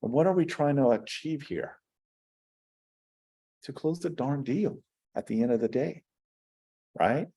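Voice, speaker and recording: A man who works as a real estate broker, giving an energetic short talk to an audience and often turning to them with "right?" and "folks.". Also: mid-range voice, measured pace, pauses often. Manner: animated, addresses the crowd with "folks" and "right?".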